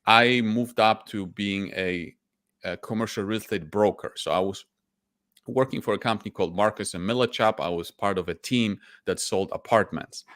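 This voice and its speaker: A man's voice: on the low side, normal speed, fairly bright tone, dry recording, studio quality. Man. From Poland. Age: 40s. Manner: candid and reflective, with frequent restarts. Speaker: guest, stock investor. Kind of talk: casual interview storytelling.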